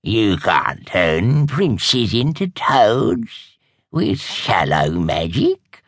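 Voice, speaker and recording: A man reading aloud and voicing a sneering, croaking old witch woman. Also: on the low side, wildly swooping pitch, very slow, no echo, studio quality, full character voice. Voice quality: croaking, growly. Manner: sneering, snappish.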